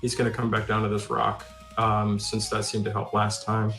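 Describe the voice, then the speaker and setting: A man's voice: on the low side, monotone, normal speed, creaky, fairly bright tone. A man playing a tabletop game, casually thinking aloud about his moves. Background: background music.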